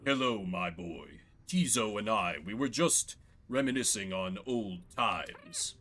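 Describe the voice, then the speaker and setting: A man plays a fatherly older gentleman who leads a band in a fantasy game. His speech is formal, eloquent and measured, with courteous phrasing and a pitch that dips low and moves freely. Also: very animated, exaggerated voice. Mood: fond. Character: Volfred, courteous fatherly older male leader.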